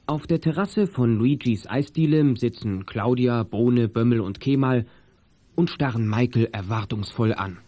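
Male narrator for a children's radio play, warm dark tone, medium pitch, scripted storytelling, light music underneath. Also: a little slow.

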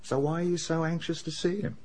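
An actor in a radio drama playing a sceptical colleague who questions in a dry, conversational way. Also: male voice, on the high side, fairly even intonation, brisk, darkish tone, lightly acted. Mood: sceptical, probing.

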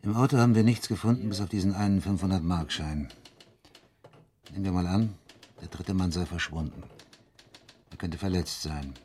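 A man acting a gruff detective in a radio drama, speaking low with a brusque, grumbling authority. There is faint noise behind him. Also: normal speed, pauses often, a little mellow, close to an everyday voice. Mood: dry, businesslike. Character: gruff, dry, commanding male police detective, middle-aged son.

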